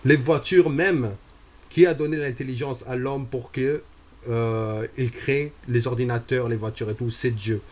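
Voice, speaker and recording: A man in his twenties from Algeria, giving a personal religious testimony, slow and deliberate with frequent hesitations. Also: medium pitch, fairly even intonation, a little mellow. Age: 20s.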